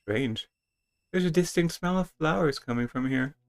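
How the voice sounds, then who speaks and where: High-voiced man reading a game aloud theatrically. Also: normal speed. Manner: theatrical, stumbles over written text. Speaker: video game player and streamer. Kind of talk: dramatic read-aloud with casual commentary.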